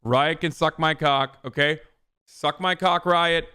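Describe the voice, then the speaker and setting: A man's voice: a little high, fairly even intonation, slow, bright, forceful tone, smooth. A man giving casual streamer commentary, ranting with plenty of profanity.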